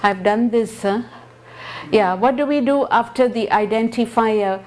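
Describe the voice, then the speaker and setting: A woman's voice: a little high, a little slow, a little mellow. A woman from Singapore with a Singaporean accent giving an explanatory, conversational public talk, earnest and fond of rhetorical questions. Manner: earnest, rhetorical questions, tag words like "right?". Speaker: guest speaker.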